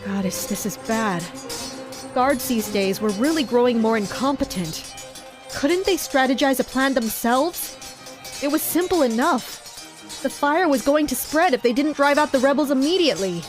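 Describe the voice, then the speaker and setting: A woman's voice: high-pitched, animated, normal speed. A woman playing a tense, commanding castle fighter in a first-person dramatic narration. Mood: urgent. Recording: music behind the voice. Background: music.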